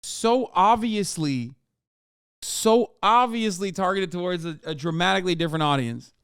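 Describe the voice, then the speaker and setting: Male streamer host, slightly high and smooth voice, dry close sound, profane and rambling. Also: lively intonation, normal speed, fairly bright tone, dry recording. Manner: profane, rambling, restarts mid-sentence. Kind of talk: casual live-stream reaction.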